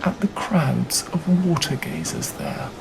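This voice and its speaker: A narrator reading a classic novel aloud in a measured, deliberate way with dramatic phrasing. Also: medium pitch, wildly swooping pitch, creaky. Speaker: audiobook reader. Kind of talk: scripted literary narration. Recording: audible background noise.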